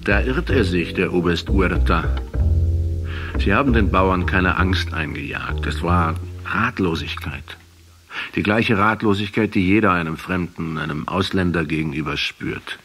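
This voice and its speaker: An actor in a radio play playing a probing male essayist who speaks in a deliberate, insistent way. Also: low-pitched, a bit bright, faint music behind. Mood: critical, measured. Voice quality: husky. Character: probing, measured male essayist from Buenos Aires.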